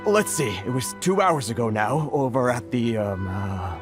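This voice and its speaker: An actor voicing an anxious foreign merchant in a game, who talks hesitantly and sighs often. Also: male voice, mid-range voice, expressive, moderate pace, natural voice. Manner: nervous, hesitant, sighing often. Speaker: trade association merchant. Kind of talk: game voice acting. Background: game music.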